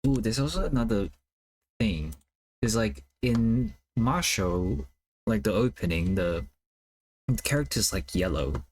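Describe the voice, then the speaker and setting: Low-voiced Cantonese-native streamer musing aloud. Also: male voice, animated, a little slow, pauses often. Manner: soft, musing, thinks aloud with many fillers. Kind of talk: casual livestream chat while drawing.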